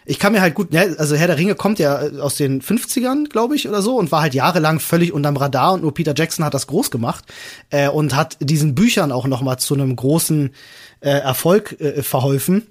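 Higher-pitched man chatting on a podcast. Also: lively intonation, a little fast, husky. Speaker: podcast host. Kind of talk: casual podcast chat.

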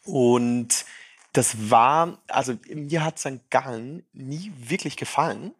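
A man's voice: medium pitch, slow pace, slightly rough. A man in his thirties, raised in Switzerland, with a light French-tinged accent, chatting casually as an interview guest and using lots of fillers. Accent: light French-tinged Swiss. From Brazil, raised in Switzerland. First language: Portuguese and French. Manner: chatty, self-deprecating, many fillers. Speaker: startup founder, guest.

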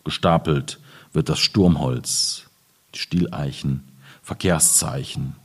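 Deep, husky-voiced man, literary speaker. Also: takes it slowly. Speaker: poet reading his own work. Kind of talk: literary reading of poems with informal introduction.

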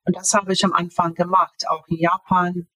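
Halting, bright-voiced woman guest. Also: fairly low pitch, level tone, low-quality recording. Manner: halting, searching for words with many fillers. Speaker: author, interview guest. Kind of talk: casual interview talk.

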